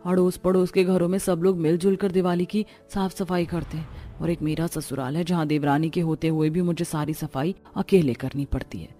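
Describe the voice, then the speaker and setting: A woman's voice: fairly low pitch, steady tone, normal speed, dark tone, faint music behind, natural voice. A woman voicing a weary, meek poor daughter-in-law and mother in a cartoon family drama, plaintive and submissive.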